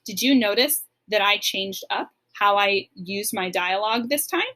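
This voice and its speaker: A woman's voice: mid-range voice, normal speed, pushed and bright. A woman teacher giving a recorded lesson to young pupils, explaining simply and encouragingly, step by step. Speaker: elementary school teacher.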